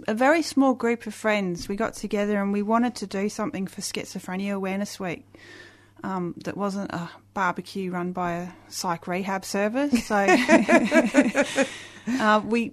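A woman with a loud voice, a guest on a radio interview, talking eagerly with many restarts and fillers. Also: medium pitch. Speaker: guest, community festival organizer.

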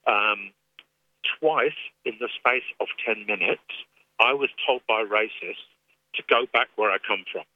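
A man with an English accent, speaking as an activist guest in a radio phone interview, earnest and measured with frequent restarts. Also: fairly low pitch. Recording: tinny phone line.

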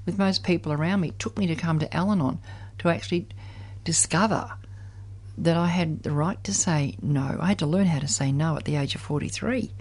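A woman's voice: lowish voice, a little mellow. A woman of seventy sharing her personal story in conversation, reflective and candid. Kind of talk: personal recollection in group conversation.

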